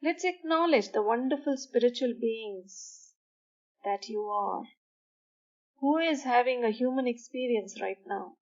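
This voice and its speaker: A woman guiding a sleep meditation in a soothing, measured manner, pausing between short phrases. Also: fairly high pitch, darkish tone, muffled recording. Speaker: meditation guide. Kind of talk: guided meditation for sleep, slow instruction.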